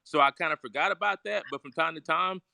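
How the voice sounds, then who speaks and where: Man, slightly high voice, bright tone, rambling reflective chat, laughs a lot. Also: fast, gravelly, no echo, clean studio sound. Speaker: guest on a live stream chat; doctor. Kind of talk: casual live-stream chat.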